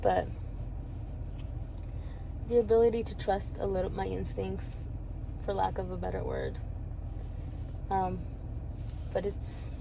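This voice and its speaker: A woman's voice: mid-range voice, slow, vocal fry, long pauses. Woman, early 20s, candid vlogger, rambling and laughing, recorded outside with traffic noise. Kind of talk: reflective personal vlog.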